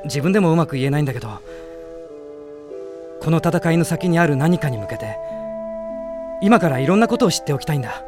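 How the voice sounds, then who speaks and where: An actor playing a gentle, earnest young prince who speaks in a measured, soft-spoken way in a radio drama. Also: male voice, on the high side, animated, close to an everyday voice. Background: music.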